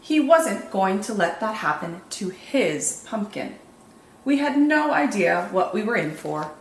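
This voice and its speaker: Lady doing kids storytime, reads expressive n deliberate w dramatic drawn out words, roomy echo, bit of background noise. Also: mid-range voice. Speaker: children's storytime host reading picture books. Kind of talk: expressive read-aloud storytelling for young children. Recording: echoey room, faint background noise.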